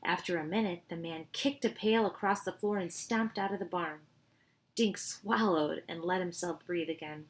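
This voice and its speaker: A woman's voice: on the low side, moderate pace. A woman reading a children's storybook aloud expressively, with informal asides to her listeners.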